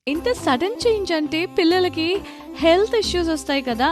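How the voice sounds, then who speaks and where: A woman's voice: very high voice, animated, normal speed, over music. A woman voicing a fussy, complaining daughter-in-law from abroad in a story. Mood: fussy complaint. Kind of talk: storybook voice acting.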